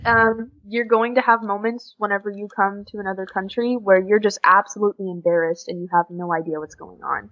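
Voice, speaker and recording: A woman's voice: mid-range voice, fairly even intonation, fairly quick, hardly any pauses. A young American woman talking casually in an interview, giving earnest, encouraging advice. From United States. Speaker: podcast guest, exchange student. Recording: dull lo-fi podcast recording.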